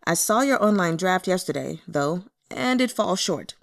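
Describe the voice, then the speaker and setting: A woman voicing a curt, listless male book editor in an audiobook, measured and critical. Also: high voice, lively intonation, vocal fry, a bit bright, exaggerated voice. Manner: measured, dismissive. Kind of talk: audiobook character dialogue.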